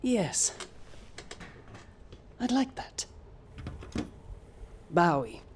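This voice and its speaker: A woman's voice: lowish voice, big pitch swings, drawn-out words, a little mellow, a little hiss or hum, full character voice. An actress playing a proud, guilt-ridden female space mission commander with a clipped, formal manner in an audio drama. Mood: wistful. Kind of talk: naturalistic audio drama acting.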